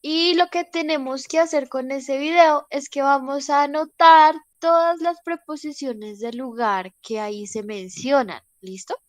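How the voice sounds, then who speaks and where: A woman teacher talks slowly over a video call. Her voice sounds stuffed up and nasal from a cold and fades now and then. She pitches it fairly high and patiently pads her instructions with fillers, and the sound is dry and close. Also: fairly even intonation, dry recording. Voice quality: voice sometimes fading. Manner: patient, unhurried, with many fillers. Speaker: English teacher. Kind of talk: online class instructions.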